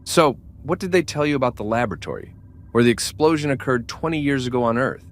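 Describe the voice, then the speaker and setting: A man playing a helpful starship doctor in an amateur sci-fi drama, talking through his work in a measured way. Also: mid-range voice, lively intonation, lightly acted. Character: obliging male starship doctor working the data displays.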